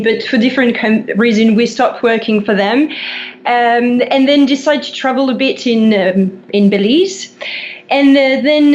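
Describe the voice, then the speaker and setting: A woman from France with a French accent, a guest telling her story in halting English full of fillers and self-corrections. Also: on the high side, muffled recording. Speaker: guest, dive instructor. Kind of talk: interview storytelling.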